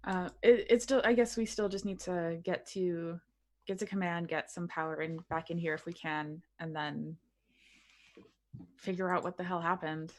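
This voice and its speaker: A woman's voice: fairly low pitch, steady tone, moderate pace, a little mellow. A woman playing a tabletop roleplaying game, talking casually as her character and herself.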